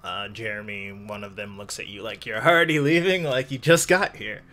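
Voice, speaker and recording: A man improvising descriptive narration as game master of a tabletop roleplaying game, pausing often as he goes. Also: on the low side, fairly even intonation, pushed and bright. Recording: faint background noise.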